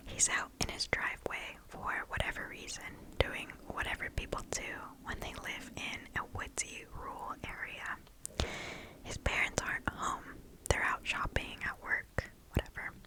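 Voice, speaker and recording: A woman reads stories in an ASMR style, entirely in a breathy whisper. She sits close to the microphone and takes her time over each phrase. Her delivery is hushed and deliberate throughout. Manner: slow, hushed, deliberate storytelling. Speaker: ASMR host reading stories. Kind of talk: ASMR whispered story reading.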